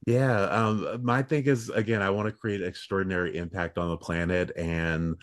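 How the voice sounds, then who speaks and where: Give me a man with a very low voice, measured and earnest, recorded dry with no room sound. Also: steady tone, dry recording. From United States. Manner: measured, earnest, many ums. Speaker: leadership coach, guest. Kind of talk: casual interview talk.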